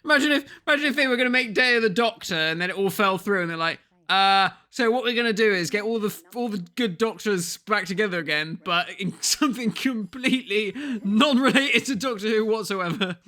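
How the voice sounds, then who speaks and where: A man's voice: high voice, brisk, hardly any pauses, pushed and bright. A man co-hosting a casual film commentary, reacting in a giggly, mocking way.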